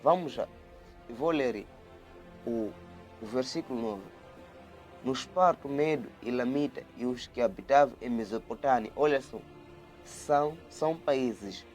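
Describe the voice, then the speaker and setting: A man giving a video Bible study, reading scripture aloud and explaining it in an earnest way, often repeating words and restarting. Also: medium pitch. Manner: earnest, repeats words and restarts, grows lively when retelling. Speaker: Bible teacher.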